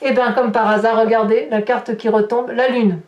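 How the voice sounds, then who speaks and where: A woman with a fairly high voice talks viewers through an improvised tarot reading. She addresses them directly and expressively. The sound is very roomy, with the microphone some way off. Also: level tone, moderate pace, a little mellow, lo-fi sound. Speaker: tarot reader hosting a horoscope video. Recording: roomy echo, distant microphone.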